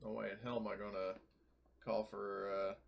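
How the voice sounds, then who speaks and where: A man's voice: lowish voice, little pitch movement, moderate pace, creaky, lo-fi sound. A man playing a tabletop roleplaying game, chatting casually in character and repeating himself insistently.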